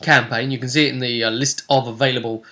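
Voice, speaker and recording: A man's voice: medium pitch, flat delivery, fast, bright, forceful tone. A man speaking casually and jokingly as a host and game master, recapping a tabletop role-play session with his co-host. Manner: jokey, bantering with co-host.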